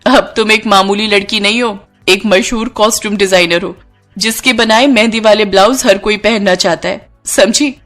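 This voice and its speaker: A woman's voice: mid-range voice, steady tone, moderate pace, a bit bright, faint music behind, close to an everyday voice. A woman plays a glamorous young actress in a cartoon tale. She sounds confident and effusive, bossing affectionately like an elder sister, with grateful feeling in her delivery. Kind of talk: Hindi cartoon story dialogue.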